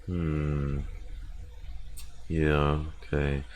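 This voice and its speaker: Male game streamer, very low voice, slow delivery, casual rambling think-aloud chat. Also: level tone, darkish tone, slight background noise. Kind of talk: casual livestream chat while gaming.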